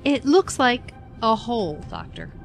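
Sceptical female companion actress, audio drama. High, animated, questioning, music behind. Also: a little slow.

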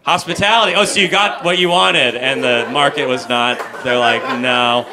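A man's voice: mid-range voice, brisk. A young man doing crowd work on stage with a handheld mic, teasing the audience and laughing at his own jokes. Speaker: stand-up comedian. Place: comedy club stage with a live audience. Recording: loud crowd laughter and club noise.

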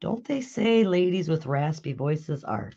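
A raspy, hoarse woman hosting a live craft tutorial, chatting with viewers and answering their comments while she works. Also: sits low, lively intonation, measured pace, warm tone, dull audio, slight background noise. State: hoarse after illness. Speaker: craft video host. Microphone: overhead camera on a craft table.

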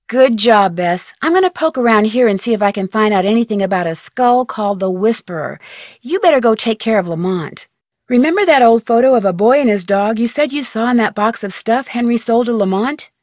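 Plucky young female sleuth, adventure game dialogue, fairly high pitch, dry close sound, persuasive and encouraging. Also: a bit bright, no room sound. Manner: encouraging, insistent, conversational.

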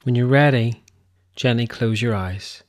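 Man leading a slow guided meditation. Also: medium pitch. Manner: gentle, unhurried instruction with short phrases and pauses.

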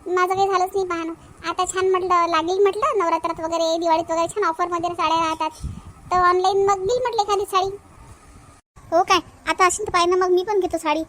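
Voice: very high voice, fairly even intonation, fast, sharp tone. A performer voicing a chatty young married village housewife in a cartoon family comedy, with a childlike voice and a colloquial Vidarbha Marathi accent.

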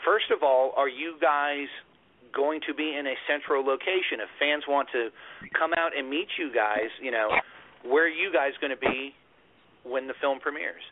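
A male horror podcast host asking guests questions, enthusiastic and quick to swear and laugh along. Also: mid-range voice, slight background noise. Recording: tinny phone-like audio.

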